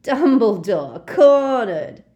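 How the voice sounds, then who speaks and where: Lady doing a wheezy giggly bully guy, yells and jeers a lot. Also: on the high side, sing-song, drawn-out words, pitched above the performer's own voice, exaggerated voice. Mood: gloating. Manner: jeering, yelling, violent.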